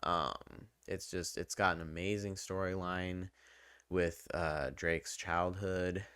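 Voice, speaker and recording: A man's voice: low voice, flat delivery, slow, a bit bright, clean tone, no room sound, studio quality. A man giving a casual, unscripted game review in a rambling, conversational and opinionated way. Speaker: gaming video reviewer.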